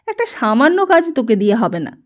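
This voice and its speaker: A woman's voice: on the high side, very animated, fairly quick. Woman voicing a pushy, scornful teenage boy. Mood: scornful, demanding. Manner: interrogating, badgering, dismissive.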